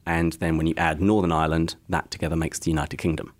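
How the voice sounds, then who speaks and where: Low-voiced English man explaining calmly. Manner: explanatory, measured storytelling. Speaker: podcast co-host. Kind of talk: casual podcast conversation.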